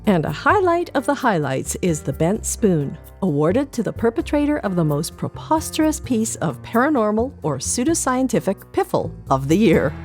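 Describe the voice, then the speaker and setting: A woman's voice: medium pitch, darkish tone. A woman with a Canadian accent reading a scripted newsletter in a light-hearted way for a podcast.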